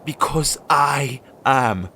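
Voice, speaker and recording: Crow familiar, acted by a man, insecure and earnest, unhurried halting delivery, for fantasy audio roleplay; clearly noisy recording. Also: medium pitch, expressive, bright, forceful tone, exaggerated voice. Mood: distressed, pleading. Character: anxious male crow familiar, insecure, tender, bonded to a witch. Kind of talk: audio roleplay addressed to the listener.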